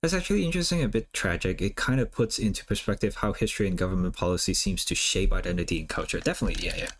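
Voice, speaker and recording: Easygoing man, lowish voice. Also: very fast, a bit bright, a little hiss or hum. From Hong Kong. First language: Cantonese. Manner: rambling, lots of "like" and "uh" fillers. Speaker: streamer. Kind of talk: casual chat while drawing on stream.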